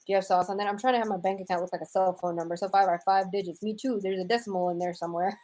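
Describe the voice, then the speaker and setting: Casting: American woman, live-stream host, mellow relaxed voice, casual and wry, loose slangy delivery. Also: mid-range voice, rapid-fire. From United States, raised in Houston, Texas. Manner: wry, irreverent, informal with slang. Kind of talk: casual chat, reading and answering viewer comments.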